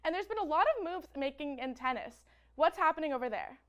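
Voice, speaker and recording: A woman's voice: high-pitched, lively intonation, quick pace, a bit bright, dull audio. A young woman co-anchoring a student sports roundup, eager and reading from a script. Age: young adult.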